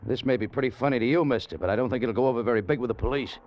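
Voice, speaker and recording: A man's voice: mid-range voice, rapid-fire, lightly acted. An actor playing a nervous young man held at gunpoint in a 1940s radio drama, asking tense, wary questions. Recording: dull, very hissy old radio broadcast.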